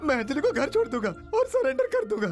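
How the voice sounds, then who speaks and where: An actor playing a guilt-ridden man who pleads haltingly and repeats himself, in emotional drama style. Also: high voice, big pitch swings, darkish tone, soft background music. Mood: desperate pleading.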